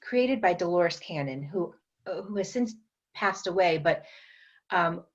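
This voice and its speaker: A woman guest on a podcast interview, explaining her energy work in an unhurried way, with many fillers and restarts. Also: fairly low pitch, expressive, lo-fi sound. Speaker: guest, metaphysician and energy trainer.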